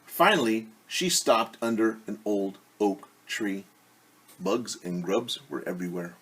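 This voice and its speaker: A man reading a children's story aloud as a teacher, expressive, with casual asides that explain things simply. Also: fairly low pitch, unhurried, slightly rough, low-quality recording.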